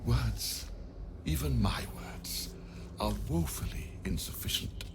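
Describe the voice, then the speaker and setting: An actor playing a haughty, sinister necromancer in a video game, with pompous, theatrical, drawling delivery. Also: male voice, mid-range voice, lively intonation, slow, gravelly, background noise. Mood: grandiose, scheming. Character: sinister, haughty male necromancer, vain about his grisly creations. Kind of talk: dramatic video game acting.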